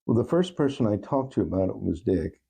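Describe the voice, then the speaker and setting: Deep, gravelly, mellow-voiced man. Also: sing-song, a little fast. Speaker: talk show guest. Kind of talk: reflective interview answers.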